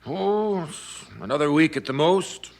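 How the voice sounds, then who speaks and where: An actor playing a reassuring, overconfident small-town physician in an old-fashioned radio drama, soothing with small hesitant hums. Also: male voice, on the high side, a little slow, creaky.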